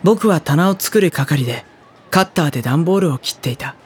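A performer playing a gentle, hesitant high-school boy who narrates his own story in a Japanese audio drama, with a husky, breathy voice. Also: animated, fairly quick, slight background noise, close to an everyday voice. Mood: reflective, narrating.

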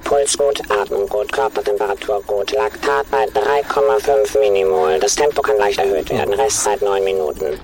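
An actor voicing a calm male artificial assistant in a radio play, reporting data in a precise, clinical way. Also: on the low side, moderate pace, few pauses, slight background noise, close to an everyday voice. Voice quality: precise, clinical, matter-of-fact. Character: calm male AI coach monitoring a runner. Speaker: digital assistant.

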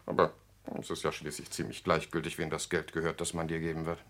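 An actor playing a cynical, worldly journalist and jovial schemer in a radio drama, sardonic and theatrical. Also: male voice, a bass voice, monotone, fast talker, fairly bright tone, no echo. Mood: cynical, expansive.

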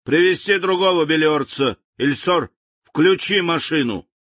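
A man's voice: fairly high pitch, unhurried, fairly bright tone, dry recording, clean studio sound, pitched above the performer's own voice. A man voicing a blustering, hot-tempered general who barks out orders. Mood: furious. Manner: barking, commanding, indignant.

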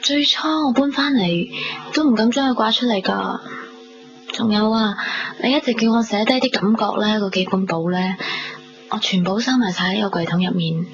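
A woman's voice: mid-range voice, moderate pace, lightly acted. A woman with a Hong Kong Cantonese accent playing a girlish, teasing young woman in love in a radio drama. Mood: affectionate. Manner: playful, teasing. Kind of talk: 1990s radio drama dialogue. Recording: dull lo-fi radio recording, faint noise.

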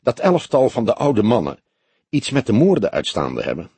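Man playing young male detective, impatient, questioning, animated intonation, audiobook reading. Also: mid-range voice, normal speed, husky, no room sound, full character voice. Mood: eager, pondering. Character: young male detective sidekick, eager, restless, easily irritated.

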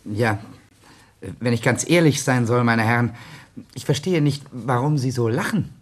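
A man's voice: medium pitch, moderate pace, lightly acted. A man acting in a German radio play as a polite, hesitant writer in his twenties who stammers when flustered. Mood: earnest, puzzled. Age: 20s. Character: hesitant, well-bred 27-year-old writer gentleman, privately tutored.

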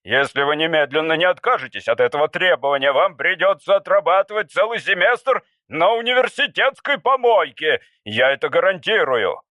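A man's voice: a little high, animated, moderate pace, few pauses, bright, forceful tone, no echo, clean studio sound, pitched above the performer's own voice. Pompous male director with a speechmaker's ring, read by a man. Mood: commanding. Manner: oratorical, commanding.

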